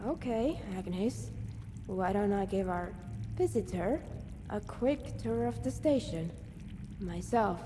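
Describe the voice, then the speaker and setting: A woman playing a guarded, wary young scavenger in a video game, speaking in a measured, dry way. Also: medium pitch, animated. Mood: guarded, uneasy. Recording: long reverb, clear background noise.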